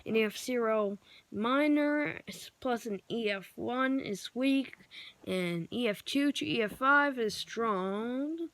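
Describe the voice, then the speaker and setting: A woman reading out homemade pretend news bulletins and emergency alerts in a halting, improvised way, with searching pauses. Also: fairly high pitch, a bit bright, no room sound. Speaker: amateur storyteller acting out a mock emergency broadcast.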